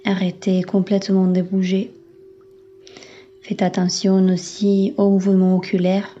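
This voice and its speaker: A woman yoga instructor leading a guided relaxation in a calm, soothing, unhurried manner with long pauses. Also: mid-range voice, highs cut off, soft background music. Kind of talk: guided meditation, yoga nidra.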